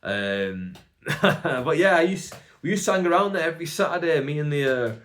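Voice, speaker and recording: A man's voice: fairly high pitch, lively intonation, moderate pace, fairly bright tone. A young man with a Bolton accent, a stand-up comedian rambling through a casual, self-mocking solo podcast with lots of "like" fillers. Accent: Northern English, Bolton. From England.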